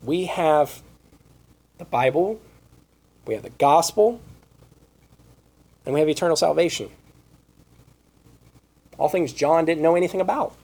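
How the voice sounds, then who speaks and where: A man preaching a Bible study sermon, reading scripture aloud and asking rhetorical questions, with his voice going hoarse. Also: a little high, measured pace, pauses often, a little mellow. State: losing his voice.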